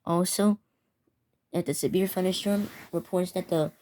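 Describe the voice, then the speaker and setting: A woman's voice: lowish voice, fairly even intonation, normal speed, warm tone, a little hiss or hum. A woman delivering a scripted emergency warning announcement as an alert announcer.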